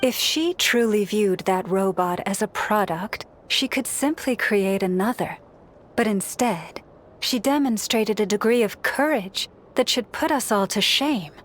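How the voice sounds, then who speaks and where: A woman's voice: mid-range voice, a little slow, natural voice. A woman voicing a serene, dignified priestess who leads a tribe in a video game, speaking in formal, poetic, solemn phrases. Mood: solemn, reproachful.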